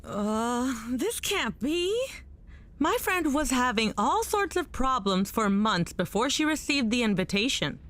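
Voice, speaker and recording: A woman's voice: a little high, sing-song, a little slow, fairly bright tone. A woman playing a shaken, disbelieving character, anxious and questioning, in an audio drama. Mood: shaken, afraid. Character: shaken, disbelieving woman fearing for loved ones.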